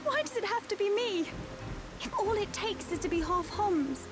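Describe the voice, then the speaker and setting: Young princess, really high voice, proper but emotional, music and rain behind. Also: female voice, lively intonation, normal speed. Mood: grieving, pleading. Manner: formal wording, emotional. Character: proud young princess, grief-stricken, formal. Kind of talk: video game cutscene acting.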